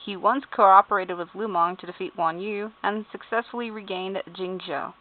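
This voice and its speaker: A woman's voice: medium pitch, fairly even intonation, moderate pace. A woman at home giving casual, amused commentary as she reads game character bios, spelling out names letter by letter, laughing and swearing. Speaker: YouTuber. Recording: tinny phone-like sound.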